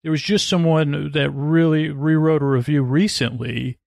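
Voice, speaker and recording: A man hosting a sleep podcast in a rambling monologue, muttering and halting as he wanders off into tangents. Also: fairly high pitch, steady tone, vocal fry, a little mellow, no room sound. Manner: meandering, halting, frequently trailing off into tangents. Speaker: podcast host.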